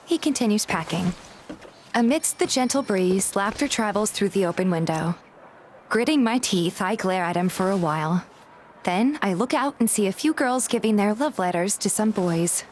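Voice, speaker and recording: A woman's voice: medium pitch, lively intonation, normal speed, slight background noise, natural voice. A woman playing a feisty, suspicious young woman who narrates her own story in a game fan dub. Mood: wary narration. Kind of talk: scripted first-person narration and game dialogue, fan dub.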